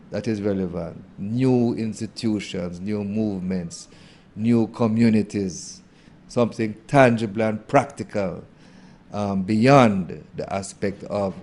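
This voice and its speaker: A man, a theologian answering an interview question in a thoughtful, halting way with many fillers. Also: lowish voice, darkish tone. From Jamaica.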